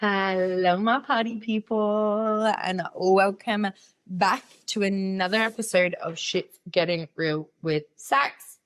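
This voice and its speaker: A woman's voice: medium pitch, fairly even intonation, slow, clear, resonant tone. A young woman hosting a casual solo podcast, with a nasal voice from a cold, talking in a candid, playful and chatty way. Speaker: podcast host.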